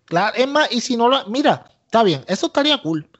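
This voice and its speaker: A man's voice: very high voice, big pitch swings, fairly quick, a bit bright. A man with a Puerto Rican accent co-hosting a podcast, giving opinionated casual commentary, emphatic and repetitive, dropping English phrases into his Spanish. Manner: emphatic, repeats himself, mixes English into Spanish. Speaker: wrestling podcast co-host. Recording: dull lo-fi audio.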